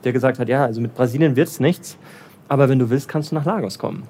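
A man's voice: mid-range voice, quick pace, warm tone, breathy edge. Hesitant German man, lots of fillers. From Germany, raised in Bavaria. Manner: hesitant, many fillers and restarts. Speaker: interviewee, development finance professional in Lagos. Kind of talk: casual interview answers.

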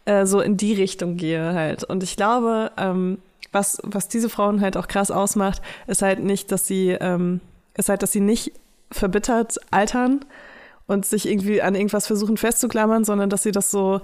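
A woman's voice: mid-range voice, fairly even intonation, moderate pace, a little mellow. A woman in her late twenties co-hosting a podcast, talking candidly in a rambling casual way with many fillers. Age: late 20s. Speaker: podcast co-host.

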